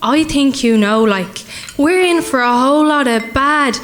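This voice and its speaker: A woman's voice: high voice, measured pace, a crowd in the background. An Irish woman playing an earnest, superstitious young folk singer in a radio play, chatting in rambling asides. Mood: nervous, chatty.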